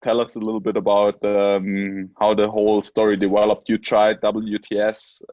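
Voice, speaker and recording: A man asks questions in a friendly, casual way and laughs along with his guest. His voice sits low, and the audio comes through dull and lo-fi. The sound is dry, with no room echo. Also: monotone, normal speed, a little mellow. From Germany. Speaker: interviewer, former world champion. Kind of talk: casual interview chat.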